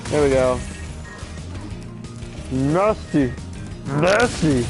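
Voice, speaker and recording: A man's voice: fairly high pitch, expressive, extremely slow, gravelly. A stuffy, sleep-deprived man in his thirties rambling and swearing as he plays a game. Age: 30s. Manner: rambling, profane, opinionated. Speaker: streamer. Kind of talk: casual gameplay chatter. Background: game music.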